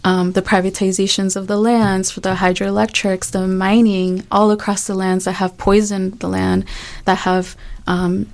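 A woman's voice: medium pitch, little pitch movement, moderate pace, creaky. A young woman, born in Guatemala and raised in Chicago with Spanish as her first language, talks informally and with conviction in a discussion. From born in Guatemala, raised in Chicago, USA. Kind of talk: conversational panel discussion.

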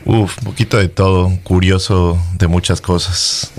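A man from Ecuador, a drummer and guest, talking loosely and relaxed. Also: deep voice, a little slow, a little hiss or hum. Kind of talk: casual conversation.

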